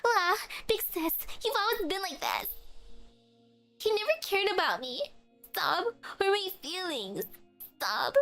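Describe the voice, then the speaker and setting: Woman voicing a clingy crybaby younger sister, high wailing voice, soft music under it. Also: expressive, a little slow, long pauses, heavily acted. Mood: sobbing. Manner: sobbing, whiny, high-pitched wailing. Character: tearful childish young girl, clingy little sister.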